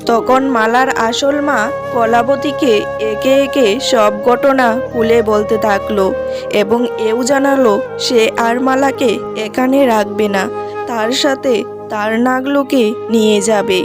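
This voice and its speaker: Female narrator, fairly high voice, scripted children's fairy-tale storytelling, music clearly behind. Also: steady tone, slow pace.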